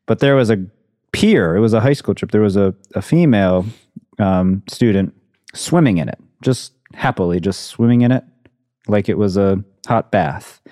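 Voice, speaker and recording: A man's voice: fairly low pitch, expressive, moderate pace, dark tone, no room sound, clean studio sound. A man in his thirties hosting a conversation, speaking in a thoughtful, hesitant way and searching for words. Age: 30s. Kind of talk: casual conversation.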